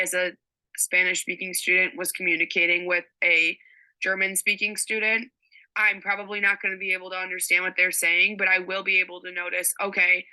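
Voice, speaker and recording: A woman's voice: lowish voice, bright, forceful tone, poor audio. A young woman giving a recorded class presentation on a teaching strategy, explaining step by step with frequent ums. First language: English.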